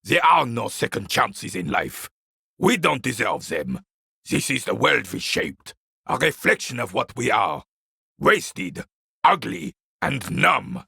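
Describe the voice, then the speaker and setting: An actor playing a proud, bitter old royalist veteran in a video game, gruff and scornful, with a gravelly, raspy voice. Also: male voice, medium pitch, very animated, slow, sharp tone, no echo, studio quality, exaggerated voice. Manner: gruff, scornful, theatrical, barking at younger men. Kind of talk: video game character dialogue.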